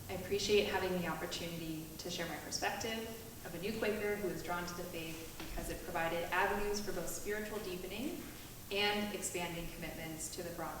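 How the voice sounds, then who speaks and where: Echoey, distant woman giving a prepared speech. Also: fairly low pitch. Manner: earnest, deliberate phrasing with emphatic repetition. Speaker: panelist. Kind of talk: prepared speech read aloud at a panel. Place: echoey meetinghouse hall, distant mic. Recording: very echoey, distant mic, faint noise.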